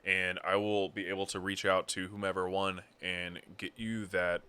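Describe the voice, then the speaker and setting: A man co-hosting a casual livestream, explaining a giveaway in a relaxed way with fillers. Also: low-pitched, normal speed, a little hiss or hum. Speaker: stream co-host.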